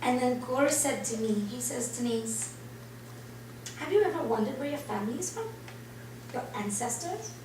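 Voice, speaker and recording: Animated woman from Trinidad, roomy sound. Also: sits high, moderate pace, pauses often. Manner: animated, acts out quoted reactions. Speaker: interview guest. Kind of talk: personal storytelling in an interview. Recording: roomy and distant, faint noise.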